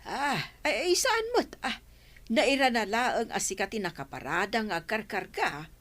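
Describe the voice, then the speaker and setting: A woman's voice: mid-range voice, big pitch swings, moderate pace, a bit bright. A woman playing an older, indignant and complaining mother-in-law in a radio drama, with an Ilocano accent. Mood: taken aback.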